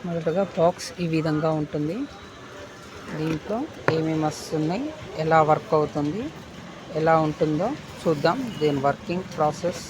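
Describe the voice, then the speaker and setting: A man's voice: a little high, steady tone, moderate pace. Man demonstrating a product, noisy surroundings. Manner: instructional, step-by-step, repetitive phrasing. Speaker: product unboxing presenter.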